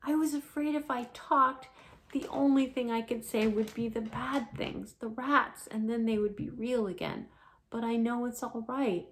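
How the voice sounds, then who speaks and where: A woman voicing a frightened young female winged kitten from a storybook, in short halting phrases. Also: a little high, steady tone, pitched above the performer's own voice, lightly acted. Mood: excited. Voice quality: hoarse, hissing when afraid.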